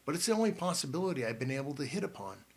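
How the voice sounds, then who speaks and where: A man's voice: on the high side, sing-song, racing, slightly rough. A man reading an audiobook and voicing a bookish teenage boy who leads the group and speaks in formal, precise words.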